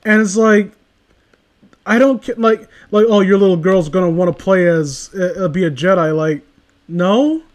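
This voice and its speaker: A man's voice: high voice, moderate pace, darkish tone. A man in a casual conversation, rambling through exasperated, opinionated rants with plenty of swearing. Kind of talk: casual ranting chat.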